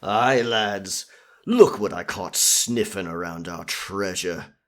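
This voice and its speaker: A man's voice: sits low, slow pace. A man playing a sadistic pirate captain in an ASMR roleplay, taunting in a menacing, mocking drawl full of pirate slang. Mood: commanding.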